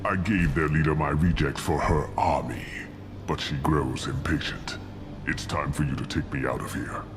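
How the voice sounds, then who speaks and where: Deep, haughty alien warlord. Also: male voice, steady tone, music in the background. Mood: proud, cold. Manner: grandiose, deliberate, scornful. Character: aged, haughty alien warlord scientist, coldly zealous. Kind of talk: dramatic video game acting.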